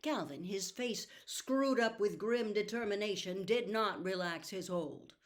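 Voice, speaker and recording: A woman narrating an audiobook as dramatic scripted storytelling, expressive and deliberate in her delivery. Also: medium pitch.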